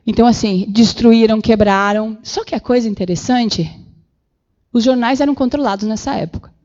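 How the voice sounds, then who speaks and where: Lively woman lecturing. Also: fairly high pitch, normal speed, lo-fi sound. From Brazil. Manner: animated, acts out quoted voices, many fillers. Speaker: guest lecturer. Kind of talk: public lecture with slides. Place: city council chamber.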